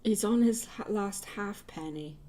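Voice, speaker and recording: A woman's voice: mid-range voice, measured pace, mellow, a little hiss or hum. A woman chatting casually and playfully while livestreaming her artwork. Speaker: digital artist livestreaming.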